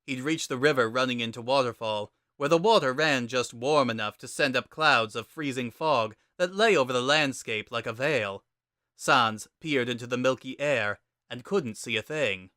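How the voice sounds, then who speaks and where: Male narrator for scripted fiction, mid-pitched, bright and crisp-edged, very dry close sound. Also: moderate pace, no echo.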